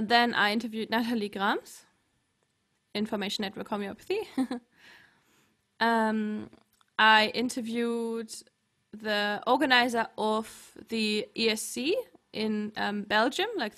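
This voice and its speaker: A young woman from Germany speaks in a fairly high voice, padding her talk with fillers and quick giggles. She talks into a close desk microphone on a video call from home. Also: fairly even intonation, fairly bright tone. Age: young adult. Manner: many fillers, giggles often. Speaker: guest, teacher. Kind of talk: casual video-call interview. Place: home room. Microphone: headset with large desk mic.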